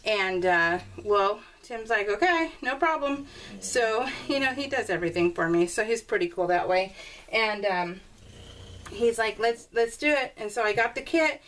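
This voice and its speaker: A middle-aged woman chatting casually and telling animated, giggly stories while she works on a scrapbooking project. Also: a little high, moderate pace, a little hiss or hum, rough recording. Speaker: scrapbooking vlogger. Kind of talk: casual storytelling while crafting.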